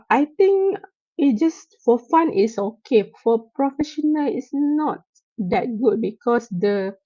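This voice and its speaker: A woman gives a classroom lecture, her voice nasal and stuffy from a cold. She speaks slowly in a mellow, fairly high voice, pausing on fillers and asking "okay?" to check her students follow. The sound is dry and a little muffled. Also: no echo. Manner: explains slowly with many fillers and check-ins. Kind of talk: classroom lecture on software tools.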